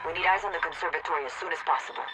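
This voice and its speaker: A man playing a serious military scout in a video game audio log, filing field reports in a clipped, hushed, urgent way. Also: on the high side, very fast, close to an everyday voice. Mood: intent, intrigued. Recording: radio transmission with loud ambient noise.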